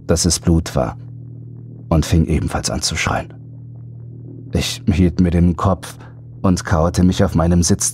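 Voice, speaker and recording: A man's voice: low-pitched, a little mellow. A man narrating a horror audiobook in a scripted, dramatic first-person storytelling style.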